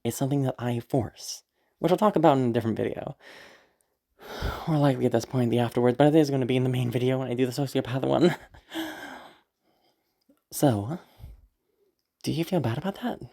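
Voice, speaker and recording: A woman with a low, deep voice, speaking casually in an afterword. She is a little sick, her throat is giving out, and she rambles with frequent short laughs. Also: brisk, creaky, pauses often, dark tone. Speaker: audio roleplay creator. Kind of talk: casual spoken afterword, explaining.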